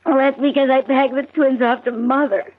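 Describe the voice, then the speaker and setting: Distressed wife and mother, high-pitched, fragile, evasive, 1970s radio drama, tinny narrow-band vintage recording. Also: fairly even intonation, normal speed. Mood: upset, evasive.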